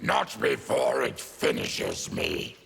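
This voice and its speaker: A man voicing a bitter, proud mutant warrior in a fighting game, with a gravelly growl and a slow, menacing delivery. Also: mid-range voice, huge pitch swings, fairly bright tone, slight background noise, exaggerated voice. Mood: bitter, grim. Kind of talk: fighting game character dialogue.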